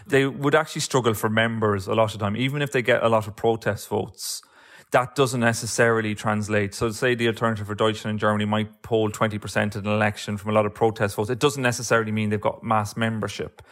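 A man's voice: fairly low pitch, flat delivery, fast, fairly bright tone. An Irish man, an author being interviewed, talking through political analysis in a discursive way with many filler phrases. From Ireland. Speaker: author and guest.

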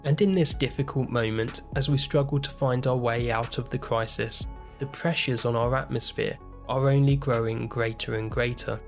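A man hosting a podcast, reading a scripted introduction in a measured, earnest way. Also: medium pitch, fairly even intonation, creaky, soft background music. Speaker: podcast host.

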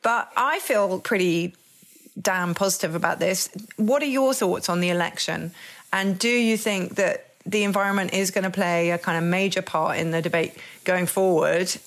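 A woman's voice: lowish voice, normal speed. Chatty, wry woman co-hosting a podcast discussion. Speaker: podcast co-host. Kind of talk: casual discussion.

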